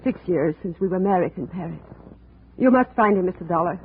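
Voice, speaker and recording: Lonely, intense wife: creaky voice, formal and melodramatic, on thin old radio sound. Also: female voice, on the low side, animated. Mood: mournful. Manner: slow, formal, melodramatic, without contractions. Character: brooding, lonely abandoned wife, haunted and intense. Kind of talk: 1950s radio drama acting. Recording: tinny, noisy old radio recording.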